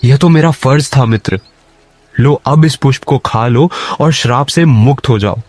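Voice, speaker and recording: A man voicing a humble, kind-hearted poor labourer in an animated moral story. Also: mid-range voice, measured pace, soft background music, natural voice. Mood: earnest, kind.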